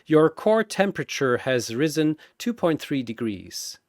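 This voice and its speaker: A man's voice: a little high, big pitch swings, unhurried, no room sound, clean studio sound. A man playing a coldly fascinated mad scientist in a story, precise, smug and analytical. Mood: smug, clinical.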